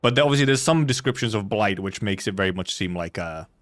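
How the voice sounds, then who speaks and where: A man's voice: lowish voice, animated, a little fast. A man doing casual live game commentary as a streamer, rambling and correcting himself as he goes. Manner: rambling, loosely self-correcting, casual swearing.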